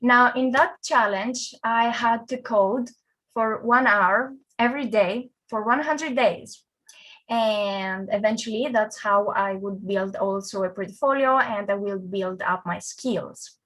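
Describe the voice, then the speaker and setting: A woman's voice: mid-range voice, takes it slowly, a bit bright, rough recording. A young woman from Greece, a front-end developer, presents a conference talk in a friendly, conversational way with fillers and restarts.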